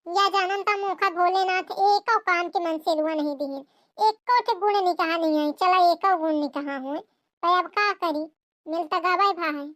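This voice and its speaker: A woman's voice: extremely high pitch, fairly even intonation, a little slow, a bit bright, no room sound, clean studio sound. A woman with a Bagheli accent voicing an exasperated married village sister-in-law in a comic cartoon, rambling and complaining dramatically. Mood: resigned grumbling. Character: exasperated, long-suffering married village sister-in-law, grumbling about her husband.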